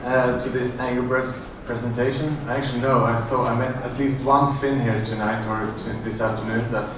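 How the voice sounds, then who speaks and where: A middle-aged man giving a conference talk in a large hotel room, halting with many fillers and restarts. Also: mid-range voice, little pitch movement. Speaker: conference presenter. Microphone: room audio from a far camera. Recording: echoey, distant room recording with noise.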